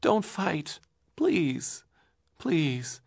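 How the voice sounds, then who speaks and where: A man's voice: on the high side, very animated, drawn-out words, creaky, no room sound, clean studio sound, pitched above the performer's own voice, exaggerated voice. Man voicing a frightened pleading boy. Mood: begging. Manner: soft, begging. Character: frightened teenage boy, grocer's son.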